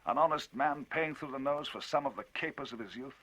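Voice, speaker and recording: A man voicing an excitable gentleman recounting a tale, emphatic and husky, in a storybook reading. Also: mid-range voice, brisk, pitched above the performer's own voice. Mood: animated. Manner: emphatic, rising, animated storytelling.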